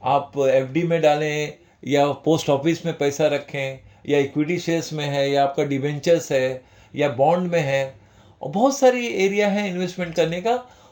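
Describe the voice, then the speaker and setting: Man explaining stock investing, higher voice. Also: normal speed, darkish tone. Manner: conversational, repeats "dosto", mixes English terms. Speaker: stock market educator. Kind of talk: informal finance talk to viewers.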